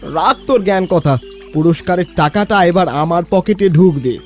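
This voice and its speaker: A man playing a greedy, lazy, deceitful villager in an animated children's story, pushy, boastful and impatient. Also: high voice, normal speed, soft background music. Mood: smug, bossy.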